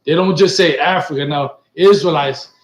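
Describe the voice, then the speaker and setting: Casting: middle-aged man from Puerto Rico, emphatic class leader, a touch high-voiced, echoey webcam sound. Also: normal speed. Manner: emphatic, repeats points and asks "right?" often. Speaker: teacher leading a class. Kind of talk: bilingual religious class talk. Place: indoor studio set with printed backdrop. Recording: echoey room.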